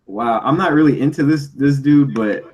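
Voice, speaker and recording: A man with a husky voice chatting casually and jokingly as a podcast host. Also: mid-range voice, moderate pace, a little mellow, lo-fi sound. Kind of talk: casual comedic group chat.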